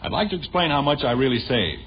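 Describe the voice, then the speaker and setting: Sitcom dad, played by a man: proudly defends himself, animated pitch, old-time radio comedy, dull hissy sound. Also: quick pace, close to an everyday voice. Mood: self-satisfied, explaining. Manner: explanatory, self-satisfied. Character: cheerfully stubborn suburban father defending a silly bargain. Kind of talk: 1950s radio sitcom acting. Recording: muffled old radio recording with hiss.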